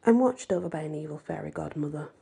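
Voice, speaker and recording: A woman voicing a bold, defiant teenage girl in an audiobook, blunt and breathy. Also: low-pitched, expressive, fast talker, mellow, exaggerated voice. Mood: matter-of-fact.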